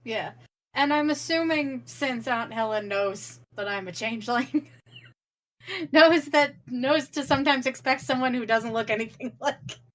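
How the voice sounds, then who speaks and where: A woman's voice: high voice, unhurried. A woman taking part in a tabletop game as a player, chatting casually. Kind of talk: casual chat.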